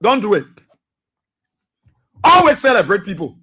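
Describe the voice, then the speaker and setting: A man's voice: sing-song, unhurried, breathy edge. Liberian man preaching on the radio. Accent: Liberian English. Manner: low and subdued for birthday greetings, then loud and preachy; repetitive, exhorting, with many fillers. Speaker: radio talk show host. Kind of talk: radio talk show monologue.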